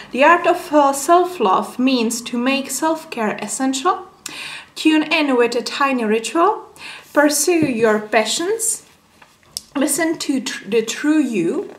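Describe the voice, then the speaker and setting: A woman's voice: on the high side, a bit bright. A young woman with a Czech accent, a native Czech speaker, casually reviewing a book in a small room with many filler sounds. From Czech Republic. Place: small room with window, indoors. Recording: echoey room.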